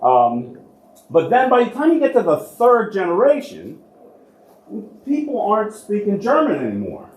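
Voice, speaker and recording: A man, a church archivist, talks a congregation through local history in a relaxed, conversational lecture. His voice sits a little high for a man, and he often poses a question before answering it himself. The recording carries the roomy echo of a large church hall. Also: moderate pace, a little hiss or hum. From United States.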